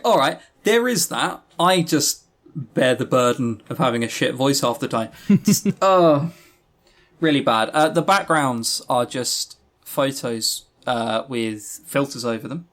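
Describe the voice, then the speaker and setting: A man co-hosting a podcast in casual chat, with a sarcastic, sweary, animated way of talking. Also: medium pitch, unhurried. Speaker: podcast co-host. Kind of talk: casual chat reviewing visual novels.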